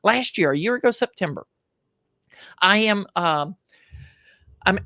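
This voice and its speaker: A man with a high voice for a man, telling stories as an interview guest with emphatic, animated delivery and frequent restarts. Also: unhurried, dry recording, studio quality. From United States. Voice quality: higher-pitched male voice. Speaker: podcast guest. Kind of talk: enthusiastic interview storytelling.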